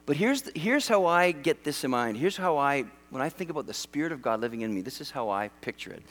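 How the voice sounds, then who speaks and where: Middle-aged male preacher from Western Canada, conversational and animated, with a slightly raised pitch, recorded in a big echoing hall. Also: fast. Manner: animated, conversational, self-deprecating humor. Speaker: pastor. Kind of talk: sermon. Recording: long hall reverb.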